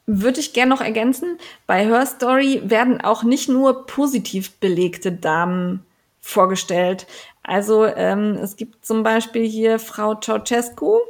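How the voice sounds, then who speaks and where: A woman's voice: a little high, measured pace. A woman co-hosting a podcast, chatting casually with frequent fillers and restarts. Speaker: podcast co-host.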